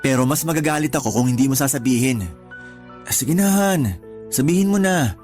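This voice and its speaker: A man's voice: mid-range voice, moderate pace, a little mellow, lightly acted. Man acting an earnest, exasperated live-in boyfriend. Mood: earnest, coaxing. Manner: earnest, reasoning, reproachful. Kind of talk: Tagalog radio drama acting. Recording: music bed behind the voice.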